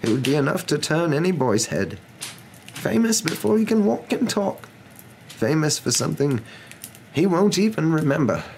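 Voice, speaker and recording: A man's voice: on the high side, sing-song, vocal fry, a little mellow, slight background noise, pitched above the performer's own voice. Guy doing a wise old wizard, grave and firm, voice raised up, storybook reading. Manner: firm, measured, serious.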